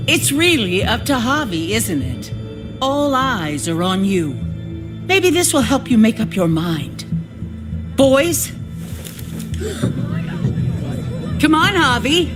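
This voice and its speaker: An actress playing a cold, ruthless survivor town leader in a video game, theatrical, menacing and mock-sincere. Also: female voice, on the high side, very animated, slow pace, music in the background. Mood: cruelly calm. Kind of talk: dramatic video game acting.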